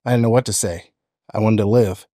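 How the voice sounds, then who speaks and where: American guy in his 50s telling life stories on a podcast, lowish voice, close dry mic. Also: steady tone, brisk, mellow, no echo. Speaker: podcast guest, electrician. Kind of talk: casual personal storytelling. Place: indoor podcast studio. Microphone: close studio microphone on a stand.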